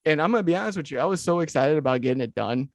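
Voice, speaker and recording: Excitable man hosting a podcast. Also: on the high side, racing, no room sound. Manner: excitable, rambling, restarts sentences. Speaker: podcast host. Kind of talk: casual podcast chat.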